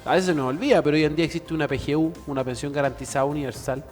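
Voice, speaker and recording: A man's voice: a little high, steady tone, racing, long reverb tail, soft background music. A man talking earnestly on a TV show, speechlike and full of fillers. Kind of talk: earnest studio talk.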